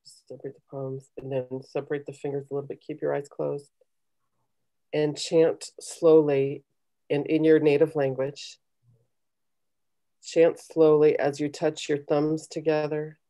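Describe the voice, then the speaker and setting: Low, mellow middle-aged woman. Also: flat delivery, unhurried, pauses often. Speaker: guest leading a guided meditation. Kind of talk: conversational coaching and guided meditation. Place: small home room, indoors. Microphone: computer video call.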